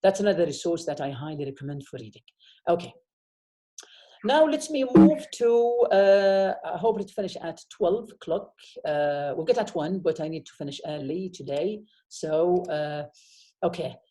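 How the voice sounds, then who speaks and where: Male professor, mellow tone. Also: a little high, lively intonation, normal speed. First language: Arabic. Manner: explanatory, frequent "okay?" checks. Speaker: university professor. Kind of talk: online lecture. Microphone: video call.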